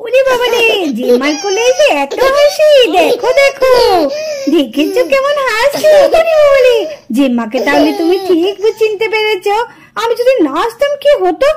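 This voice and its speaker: A woman's voice: very high-pitched, sing-song, normal speed, a loud crowd. A woman voicing a doting ghost aunt in a doll story, cooing and scolding by turns. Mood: tender cooing.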